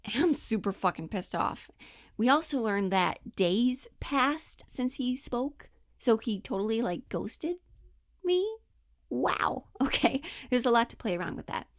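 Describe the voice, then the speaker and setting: A woman in her twenties giving casual, informal acting instruction in an animated manner. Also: a little high, unhurried, dry recording, studio quality. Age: 20s. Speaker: acting coach.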